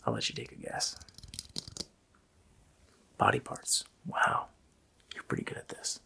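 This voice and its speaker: A man voicing a gloating, child-eating Christmas demon in a gravelly, breathy whisper, drawling casually and menacingly in an ASMR roleplay. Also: unhurried, pushed and bright. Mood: ghoulish, playful.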